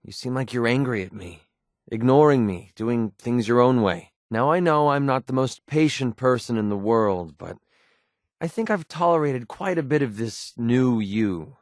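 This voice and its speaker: An actor playing a guarded, wounded mercenary in a video game, sarcastic and quick-tempered but loyal, speaking in a plain, earnest, edgy way. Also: male voice, medium pitch, lively intonation, dry recording, studio quality, close to an everyday voice. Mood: earnest, troubled. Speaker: companion character in a video game. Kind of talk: naturalistic video game voice acting.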